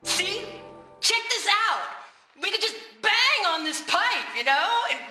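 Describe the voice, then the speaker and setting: An actress voicing a slangy, rebellious young female musician in a video game, with casual, animated delivery. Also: extremely high pitch, a little slow, roomy sound, recorded from a distance, loud music behind the voice, exaggerated voice. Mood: upbeat.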